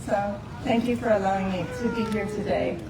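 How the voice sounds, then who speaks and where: A woman's voice: medium pitch, a little fast. A woman shares heartfelt, hesitant remarks at a community open mic. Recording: distant mic, roomy, background noise.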